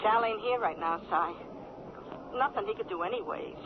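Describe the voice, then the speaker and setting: A woman's voice: medium pitch, measured pace. An actress playing a cynical, slangy, needling girlfriend over a telephone in a 1970s radio drama. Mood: sardonic. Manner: slangy, sardonic. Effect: telephone filter. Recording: muffled, noisy phone line.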